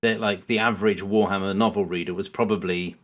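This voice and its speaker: A man's voice: deep voice, fairly even intonation, brisk, fairly bright tone. A man speaking as a podcast guest in a casual, analytical discussion, often rephrasing himself as he thinks aloud.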